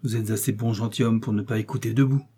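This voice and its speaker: A man reading an audiobook, voicing a powerful, scheming older cardinal who speaks in a smooth, persuasive, lofty way. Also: fairly low pitch, steady tone, fast talker, warm tone.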